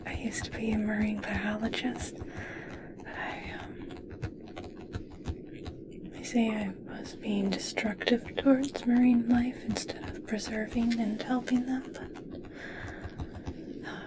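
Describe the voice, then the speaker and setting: A woman playing an obsessed, eccentric scientist in an ASMR roleplay, speaking intimately in a breathy whisper. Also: on the high side, rough recording. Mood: defensive, eager. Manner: slow, intimate, wistful storytelling. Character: obsessed, eccentric female human scientist fascinated by merpeople.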